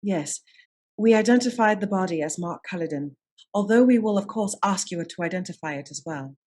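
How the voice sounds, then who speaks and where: Woman acting as a female detective, Edwardian radio mystery, shrewd, composed, polite, decisive, mid-pitched. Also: animated, fairly quick, dark tone, lightly acted. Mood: grave, courteous.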